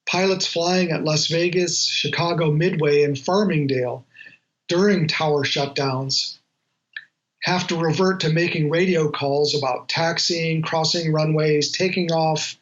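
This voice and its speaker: A man, an aviation journalist, giving measured explanatory answers in an interview, on a slightly lo-fi recording. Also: on the high side, fairly even intonation.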